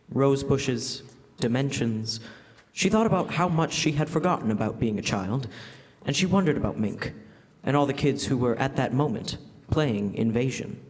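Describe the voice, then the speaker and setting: A man narrating a scripted story in classic radio drama style, live on stage. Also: medium pitch. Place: on stage in a school hall. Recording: echoey hall, live stage performance.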